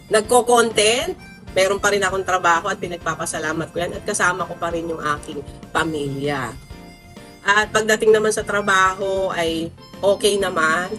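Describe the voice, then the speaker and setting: Filipino female, 40s, teacher-vlogger. Bright mid-pitched voice, casual emphatic storytelling over background music. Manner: chatty, conversational, emphatic with drawn-out words. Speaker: social science teacher and content creator. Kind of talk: casual storytelling vlog to followers.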